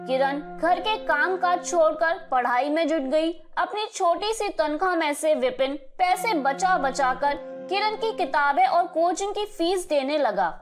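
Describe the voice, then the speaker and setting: Slow, high-voiced woman storyteller over music. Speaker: narrator. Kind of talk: scripted moral story narration.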